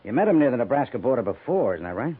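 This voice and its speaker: An actor playing a stern, sarcastic commanding army major in a 1950s radio western, measured and pointed in delivery. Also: male voice, mid-range voice, sing-song, slightly rough. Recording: muffled, phone-like 1950s radio recording.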